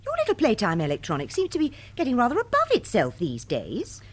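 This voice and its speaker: An actress playing a wry, teasing British housewife in a radio drama, affectionate but sceptical. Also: female voice, on the high side, huge pitch swings, a little fast. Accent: British English.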